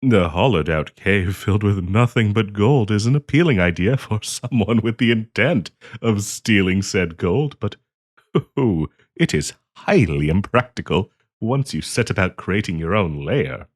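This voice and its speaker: A man's voice: lowish voice, expressive, measured pace. A man playing a polite, hesitant half-dragon diplomat in a fantasy roleplay audio, genteel and eager to please as he hosts the listener. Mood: chatty, proud. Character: polite, flustered half-dragon diplomat hosting tea in his cave.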